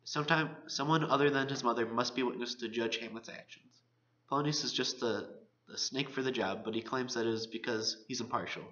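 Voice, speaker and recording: Male college student reading a scripted literary presentation, forceful bright voice, dull lo-fi sound. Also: medium pitch, brisk.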